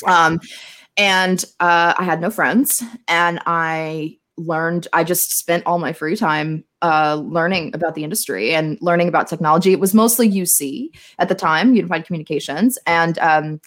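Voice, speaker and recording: Young woman telling stories, slightly low voice. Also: moderate pace. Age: young adult. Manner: animated, theatrical, self-mocking. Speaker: podcast guest, tech marketer. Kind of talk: casual conversational storytelling.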